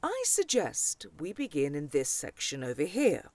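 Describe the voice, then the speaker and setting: A woman's voice: deep voice, wildly swooping pitch, unhurried, darkish tone, no echo, heavily acted. A woman playing a proud older dragonfolk scholar in a fantasy audio drama, grandly formal and haughty. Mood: stately, helpful.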